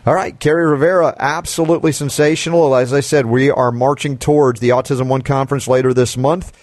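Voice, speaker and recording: A man's voice: medium pitch, fairly even intonation, a little fast, darkish tone, no room sound. A man hosting a health talk radio show, effusive and enthusiastic.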